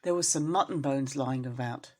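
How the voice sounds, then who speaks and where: A woman storyteller reading expressively to young children, in an engaging, animated manner. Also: rumbling low, normal speed. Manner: animated, addresses young listeners with questions.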